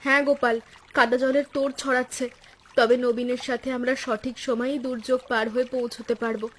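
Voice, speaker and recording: Woman voicing an earnest, high-pitched young village wife. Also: little pitch movement, fairly quick, lightly acted. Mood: concerned. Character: caring young village wife and daughter, anxious but cheerful. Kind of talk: Bengali cartoon story dialogue.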